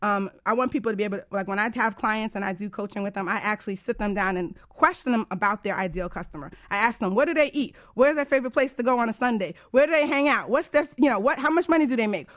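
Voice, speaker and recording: A woman's voice: fairly high pitch, fast, few pauses. A woman hosting a casual talk radio show as a coach, chatty, informal and emphatic.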